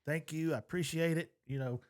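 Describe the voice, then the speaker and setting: Higher-voiced man, folksy podcast talk. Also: steady tone, fairly quick, darkish tone. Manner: folksy, profane, opinionated. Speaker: podcast co-host. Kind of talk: casual chat.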